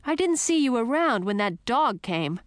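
Woman voicing a whiny, high-pitched young frog princess. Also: very animated, moderate pace, no room sound. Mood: indignant. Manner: whiny, emphatic, easily upset. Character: young princess turned frog, fretful and indignant. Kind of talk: audiobook character acting.

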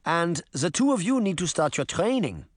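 A man voicing a kindly old grandmother, brisk and encouraging, in a children's storybook reading. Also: on the high side, sing-song, no echo, clean studio sound.

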